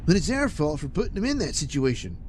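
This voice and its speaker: A man's voice: a little high, big pitch swings, darkish tone, slight background noise, pitched above the performer's own voice. A man voicing a dim, whiny young sidekick in a comic audio play. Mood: dopey. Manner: whiny, childish, slow and dopey.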